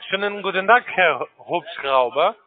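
A man with a foreign accent making a phone sales pitch in formal, halting German with grammar slips. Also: animated. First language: not German. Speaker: call-centre salesman. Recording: tinny narrow phone line.

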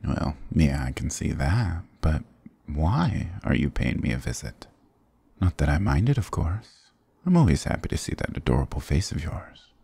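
Very deep, gravelly flirty goth guy, acted by a man. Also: very animated, moderate pace, mellow, dry recording, exaggerated voice. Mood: teasing, curious. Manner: teasing, affectionate, mock-dramatic. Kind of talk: intimate ASMR roleplay acting.

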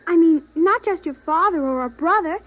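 An eager young girl pipes up in a very high voice, full of curiosity. The sound is a tinny old radio drama. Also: animated, moderate pace. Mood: hopeful, curious. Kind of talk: 1950s radio drama acting. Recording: tinny 1950s radio recording.

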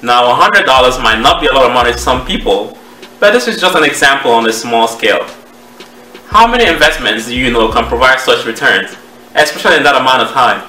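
A man in his thirties with a Cameroonian accent, giving a measured, explanatory presentation to camera as a trading educator. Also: medium pitch, expressive, sharp tone, a little hiss or hum. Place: small home office, indoors. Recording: roomy echo, distant microphone.

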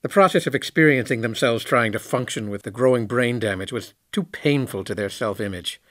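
Guy acting as a thoughtful ex space commander, measured and candid, dry close sound, sci-fi audio play. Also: medium pitch, fairly bright tone, dry recording, lightly acted. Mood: explanatory, reflective. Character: reflective, candid former station commander, male simulation. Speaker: actor in an audio drama. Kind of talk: science fiction audio drama acting.

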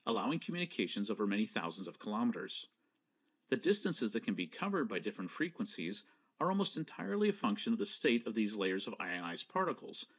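A man presenting scripted educational narration in a measured, didactic manner with precise enunciation. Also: on the low side.

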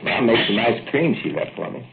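A man's voice: mid-range voice, sing-song, brisk, vocal fry. An actor playing a dreamy, stubborn small-town American workman in a 1940s radio drama, musing in colloquial talk. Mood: casual, idle. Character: dreamy, stubborn small-town pipe-fitter fixated on flies. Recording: noisy, muffled old radio recording.

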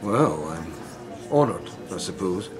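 An actor playing a courteous, condescending, disapproving widowed psychiatrist in a radio drama, speaking formally. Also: male voice, low voice, sing-song, slow, full character voice. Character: smooth, prim, disapproving male psychiatrist, newly widowed.

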